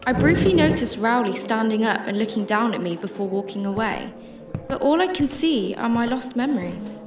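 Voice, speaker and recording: A woman's voice: a little high, lively intonation, moderate pace. A woman acting a troubled, secretive shelter worker who narrates her story in a radio drama, emotive and hesitant in dialogue. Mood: reflective. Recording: roomy sound with music behind.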